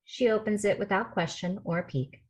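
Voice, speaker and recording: A woman's voice: medium pitch, moderate pace. A woman reading out stage directions as scripted narration at a table read over a video call.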